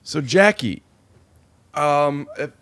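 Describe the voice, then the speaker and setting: A man in his thirties hosting a podcast, loud and deadpan as he jokes with his guest, seated on a sofa in a living room at a boom-arm mic. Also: extremely slow, dry recording. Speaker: podcast host. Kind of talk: casual chat. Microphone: studio mic on boom arm, headphones.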